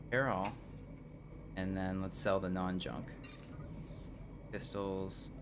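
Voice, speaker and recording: A man livestreaming a video game, chatting casually about his play. His voice is very low, and there is noticeable game noise behind him. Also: flat delivery, takes it slowly. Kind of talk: casual live gameplay commentary. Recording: noisy game audio behind voice.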